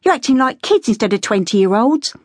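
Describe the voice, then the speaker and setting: A woman's voice: lively intonation, fairly quick, breathy edge, no echo, clean studio sound. A woman doing an insistent nineteen-year-old girl. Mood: scolding. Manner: insistent, raised pitch. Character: young woman, nineteen, determined and outspoken.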